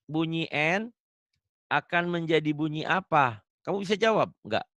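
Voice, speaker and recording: A man's voice: a little high, expressive, normal speed, a bit bright, no echo. A man teaching Quran recitation online, patiently drilling pronunciation and laughing often. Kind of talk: online tajwid lesson.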